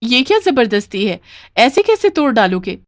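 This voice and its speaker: A woman's voice: fairly high pitch, very animated, moderate pace, dry recording, studio quality. Woman voicing an earnest, plaintive poor mother. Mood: indignant. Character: poor widowed mother, earnest street-food seller. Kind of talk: Hindi animated moral story dialogue.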